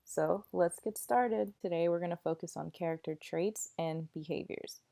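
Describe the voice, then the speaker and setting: Lowish-voiced woman teaching a simple lesson. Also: moderate pace, vocal fry, mellow. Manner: explanatory, simple phrasing with examples. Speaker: teacher reading aloud to children. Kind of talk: instructional lesson introduction.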